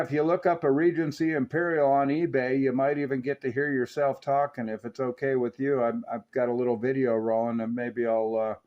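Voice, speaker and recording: Muffled, lower-voiced American man on CB radio. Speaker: CB radio hobbyist demonstrating a radio. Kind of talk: casual CB radio chat.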